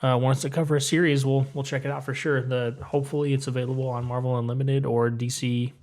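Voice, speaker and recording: A young adult man co-hosts a comic book podcast in easy, casual chat. His voice sits at a middling pitch, and he keeps things upbeat and chatty, laughing often between points. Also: flat delivery, fast, darkish tone. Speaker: podcast co-host.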